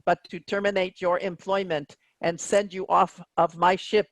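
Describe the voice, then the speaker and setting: A woman's voice: fairly low pitch, level tone, moderate pace, no room sound, lightly acted. A woman in a play reading, voicing a stern, coldly officious automated spaceship captain. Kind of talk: staged comedy play reading.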